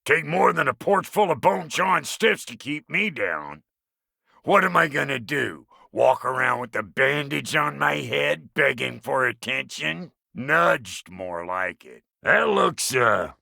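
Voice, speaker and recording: Grouchy american dwarf smith for a game, raspy growl, slow folksy delivery, teasing and cocky. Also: male voice, medium pitch, sharp tone, dry recording, clean studio sound, exaggerated voice. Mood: grumbling. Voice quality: gravelly, rough. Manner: blunt, folksy, cantankerous. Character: gruff, foul-tempered dwarf blacksmith, cocky and teasing. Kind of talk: video game character lines.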